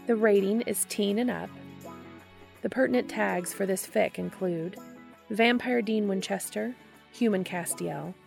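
A woman hosting and narrating fan fiction in a scripted, expressive storytelling read. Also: medium pitch, gravelly. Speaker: podcast host and reader.

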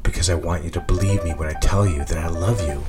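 An actor playing a domineering, seductive lover in a romantic audio drama, speaking in an intimate, commanding way. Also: male voice, sits low, natural voice. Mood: tender, seductive. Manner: commanding, intimate, slow endearments. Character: domineering, seductive married lover named Richard. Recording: loud music under the voice. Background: music.

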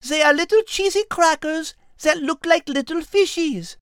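A woman's voice: extremely high pitch, normal speed, no room sound, studio quality. A woman voicing a poised, playful female cat with a French accent in a children's audio drama. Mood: playful, unruffled.

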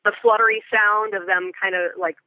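An American woman being interviewed as a Foley artist, explaining things thoughtfully and in detail, with halting pauses. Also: medium pitch, steady tone, no echo, narrow, tinny audio. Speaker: guest, theatre Foley artist. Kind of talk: interview conversation.

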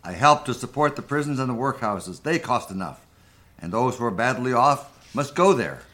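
A man's voice: mid-range voice, animated, normal speed, a bit bright, close to an everyday voice. An actor playing a grumpy, miserly old businessman with a curt, scornful manner in a radio play reading. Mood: curt, cold.

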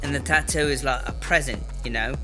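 A woman's voice: rumbling low, a little fast, music in the background. A woman playing a cocky, defensive, foul-mouthed British inmate in a comedy audio drama, with a slangy, blustering, rambling delivery.

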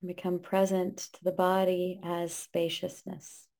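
A woman leading a guided meditation, giving calm, gentle instructions in short, spaced phrases. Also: fairly low pitch, a little slow, vocal fry.

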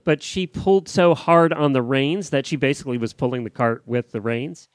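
A man's voice: mid-range voice, moderate pace, no echo. A man with an American accent co-hosting a podcast, casually chatting and telling stories. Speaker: podcast co-host.

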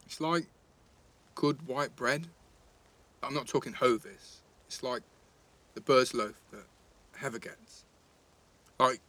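A man's voice: mid-range voice, a bit bright. An actor playing a cheeky, blunt teenage boy with an English accent, teasing and slangy in a naturalistic audio drama. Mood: wistful, thoughtful.

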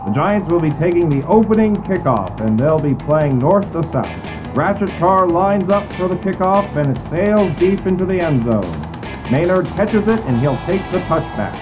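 An actor playing a brisk, dramatic 1950s football announcer, calling play-by-play in a declamatory old-time style. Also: male voice, high voice, music in the background. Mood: announcing. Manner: declamatory, building excitement on plays. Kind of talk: old-time sports commentary.

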